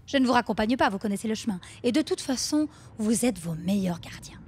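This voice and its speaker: A woman's voice: fairly high pitch, expressive, a little fast, a little mellow, slight background noise, natural voice. An actress playing a condescending, controlling woman who leads a talk group in a radio fiction, mock-sweet and sardonic. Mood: sardonic, dismissive. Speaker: session leader.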